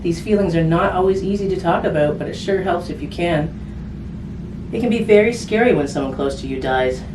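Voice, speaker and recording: A woman with a fairly low voice reads a children's book aloud in a conversational storytime style, pausing for casual asides to her young listeners. She sounds set back from the microphone in an echoey room, with clear noise in the recording. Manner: conversational, simple phrasing aimed at children, with tag questions. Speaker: hospice volunteer reading a picture book to children. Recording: roomy, distant mic with background noise.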